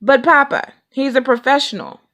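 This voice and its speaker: A woman's voice: high-pitched, lively intonation, moderate pace, a bit bright, voice pushed up. A woman voicing a glum, protesting young boy bear cub in a children's storybook.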